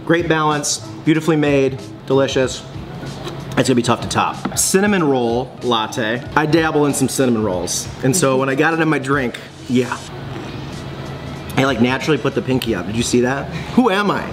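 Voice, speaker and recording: A man in his forties vlogging in a bright coffee shop, excitable and gushing, laughing often as he tastes dishes. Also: medium pitch, moderate pace, music in the background. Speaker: food vlogger. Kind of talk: casual food review chat. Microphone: phone camera at arm's length.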